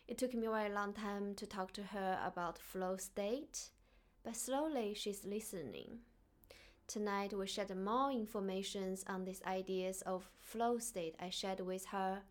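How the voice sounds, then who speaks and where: A woman from China with a Chinese accent, speaking earnestly and softly as the host of a reflective solo podcast. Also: mid-range voice.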